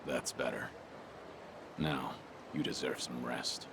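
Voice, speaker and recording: An actor playing a calm, menacing middle-aged ex-yakuza vigilante in a video game, terse and intimidating. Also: male voice, a bass voice, slow pace, gravelly, background noise, exaggerated voice. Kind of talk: dramatic video game voice acting. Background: music.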